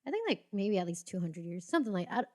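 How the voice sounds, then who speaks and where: A woman's voice: medium pitch, big pitch swings, fast talker, darkish tone. A young American woman, a podcast guest, chatting casually with lots of "like" and frequent laughter. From United States. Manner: chatty, laughs often, heavy use of "like". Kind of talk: casual chat.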